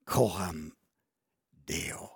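A man preaching a sermon in a church, earnest, rising loud on key phrases and sinking to a near whisper. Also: low voice, extremely slow, breathy edge, no echo, studio quality. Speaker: pastor.

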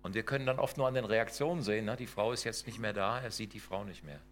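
A middle-aged man lectures from slides in a lowish voice, pressing his points with rhetorical questions. He tags his sentences with quick checks to the audience. A faint hum sits under the recording. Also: fairly bright tone. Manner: emphatic, rhetorical questions, tag questions like "ne?" and "ja?". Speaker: lecturer on intercultural work.

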